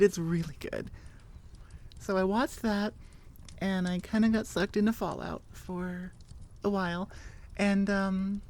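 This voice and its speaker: A woman chatting casually and informally as an audio creator, rambling with enthusiasm. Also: fairly low pitch. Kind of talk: casual rambling outro chat.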